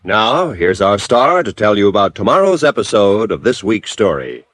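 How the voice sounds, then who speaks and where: A man's voice: fairly low pitch, very animated, moderate pace, fairly bright tone, faint music behind. A man with an American accent reading scripted announcements in classic radio style.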